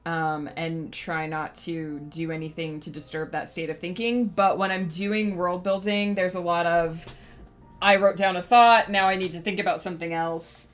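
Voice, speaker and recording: A woman's voice: fairly low pitch, steady tone, normal speed, soft background music. A woman author chatting casually on a livestream, thinking aloud and rambling with lots of fillers, a little sniffly. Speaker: author streaming her writing process.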